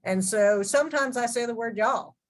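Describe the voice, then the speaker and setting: A woman's voice: on the high side, normal speed. A woman who is a clinical law professor, speaking in a chatty, self-deprecating way on a video call. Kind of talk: virtual panel talk.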